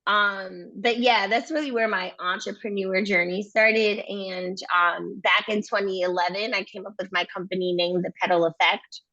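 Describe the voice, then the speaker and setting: A woman's voice: medium pitch, fairly even intonation, moderate pace, hardly any pauses, pushed and bright, no room sound. A young adult woman, born in Nigeria and raised in Maryland, telling her story as a podcast guest in an upbeat way with frequent "um"s and laughter. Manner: upbeat, laughs often, many "um"s. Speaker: podcast guest, floral entrepreneur. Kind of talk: casual interview storytelling. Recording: dull dry sound.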